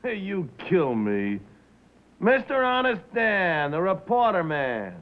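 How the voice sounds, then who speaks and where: An actor playing a brash, cynical American wheeler-dealer in a 1950s film drama, with sarcastic, slangy, punchy delivery. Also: male voice, high-pitched, sing-song, takes it slowly, exaggerated voice. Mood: mocking. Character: brash, cynical, mocking male broadcast-business wheeler-dealer. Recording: muffled old film soundtrack.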